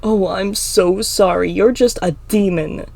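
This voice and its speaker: A woman's voice: mid-range voice, a little slow, gravelly, a little mellow. Woman acting cruel, sneering schoolboy bully. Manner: taunting, sneering. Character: cruel mocking male student, hateful school bully. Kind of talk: dramatic fantasy audiobook acting.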